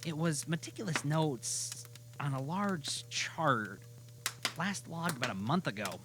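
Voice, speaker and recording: A man narrating a scripted audio drama as a storyteller, deliberate and given to dramatic pauses. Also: fairly high pitch, lively intonation, music in the background.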